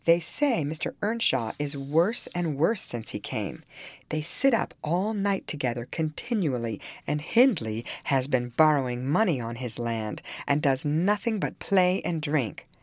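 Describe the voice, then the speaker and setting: Steady, admonishing female servant read by a woman, low voice, dry sound, classic-novel audiobook. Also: unhurried, no room sound, full character voice. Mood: cautioning. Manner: admonishing, reasoning. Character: sensible, cautioning female servant, plain-spoken and steady.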